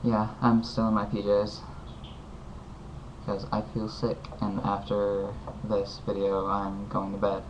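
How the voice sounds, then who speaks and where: A man's voice: on the low side, monotone, slow pace. A man giving rambling, earnest advice in a casual video recorded at home. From United States. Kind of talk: casual heartfelt advice monologue. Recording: dull lo-fi home video with faint hiss.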